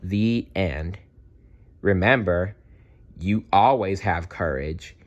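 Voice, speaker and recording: A man reading a children's story aloud in an expressive, deliberate storytelling manner. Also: low voice, dull audio. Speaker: storybook reader. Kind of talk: children's read-aloud narration.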